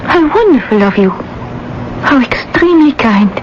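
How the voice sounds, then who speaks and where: A woman's voice: sits high, sing-song, unhurried. A woman in a radio drama playing a poor seventeen-year-old Parisian seamstress, theatrical, plaintive and naive. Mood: earnest wondering. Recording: dull, noisy old radio broadcast.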